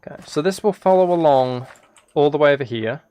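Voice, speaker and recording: A man talking casually and softly as a live streamer, thinking aloud in a rambling, relaxed way while he plays a game. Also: fairly high pitch, fairly quick, clean tone. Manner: soft-spoken, relaxed. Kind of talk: casual livestream chat while gaming.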